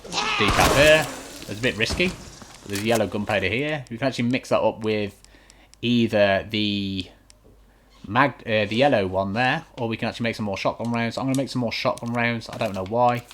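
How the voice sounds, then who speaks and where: A man with a fairly low voice talks viewers through a video game. His commentary is casual and instructional, matter-of-fact and reassuring. There is clear noise and some clicking behind him. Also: normal speed, fairly bright tone. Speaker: gaming video host giving a walkthrough. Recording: clearly noisy home recording.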